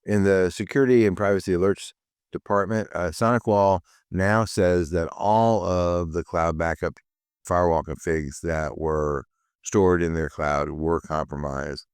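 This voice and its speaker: A man with a deep voice runs his weekly security news rundown, sounding a bit rough. His voice stays smooth and clear under it, in a dry, close recording. He talks bluntly, with dry asides and plenty of ums and uhs. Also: fairly even intonation, unhurried, no echo. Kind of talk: solo news commentary.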